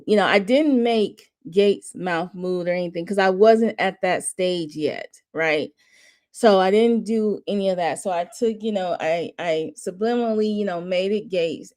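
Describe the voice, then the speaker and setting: Low-voiced woman hosting a rambling chat. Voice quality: says her voice runs monotone then jumps high. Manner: rambling, restarting often, laughing between thoughts. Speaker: host of a watch party stream. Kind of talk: casual chat.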